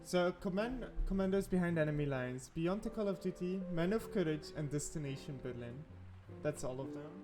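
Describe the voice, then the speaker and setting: Excitable host guy, kinda high voice, gasping n exclaiming, loud music under him. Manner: excitable, quick to gasp and exclaim. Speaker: podcast host. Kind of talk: casual interview chat.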